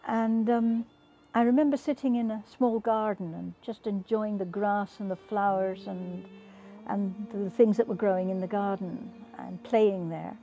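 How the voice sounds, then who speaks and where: An older woman, around eighty, recalling her childhood for an interview in a measured, pausing way. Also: mid-range voice, fairly even intonation, dark tone, lo-fi sound. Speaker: former internment camp child. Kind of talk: interview reminiscence. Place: outdoors in a garden. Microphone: lapel.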